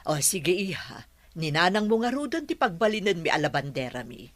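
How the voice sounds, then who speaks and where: A woman's voice: fairly low pitch, sing-song, fairly quick, no room sound. A woman with an Ilocano accent playing a practical, kindly village teacher and employer in an audio drama. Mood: friendly. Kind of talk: Ilocano radio-style drama acting.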